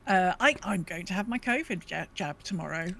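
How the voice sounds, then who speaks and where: A woman's voice: fairly low pitch, expressive, a little fast, a bit bright, slight background noise. A British woman chatting casually as a podcast co-host. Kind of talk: casual chat.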